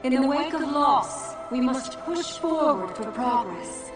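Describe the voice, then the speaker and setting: A woman's voice: high-pitched, animated, takes it slowly, music in the background. An actress playing a solemn female program leader giving a formal speech in a game cutscene. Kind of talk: video game cutscene acting. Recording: echoey and distant, score behind.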